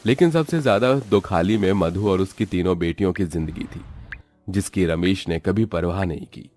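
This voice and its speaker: A man's voice: low voice, normal speed, a little mellow. A man reading scripted narration for a dramatic story in a storyteller's manner.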